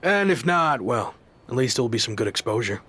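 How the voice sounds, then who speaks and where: Expressive, reluctant young wrestler. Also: male voice, mid-range voice, moderate pace, breathy edge. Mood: easygoing. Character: reluctant, easily annoyed young male pro wrestler. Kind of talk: video game cutscene dialogue.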